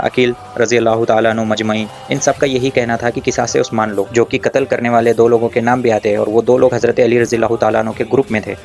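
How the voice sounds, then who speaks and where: A man giving an argumentative religious rebuttal, addressing his opponent directly in a taunting, confrontational way. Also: medium pitch.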